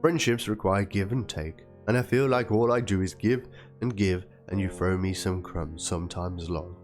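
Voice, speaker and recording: A man's voice: deep voice, moderate pace, close to an everyday voice. A man doing a dramatic reading as a wounded young woman, plaintive and theatrical, spelling out chat slang. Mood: hurt, pleading. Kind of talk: dramatic reading of a written document and chat logs. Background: guitar music.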